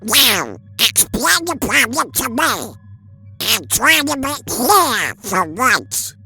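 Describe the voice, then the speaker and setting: A performer voicing a grumpy, sarcastic male duck superhero in a comic dub, with a creaky, sharp voice. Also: mid-range voice, big pitch swings, takes it slowly, soft background music, full character voice. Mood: exasperated, sarcastic. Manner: sardonic, complaining.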